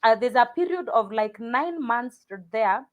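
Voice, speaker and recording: A Kenyan woman, a guest in an interview, telling her life story in a reflective, hesitant way with many pauses and restarts. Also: a little high, expressive, phone-quality sound.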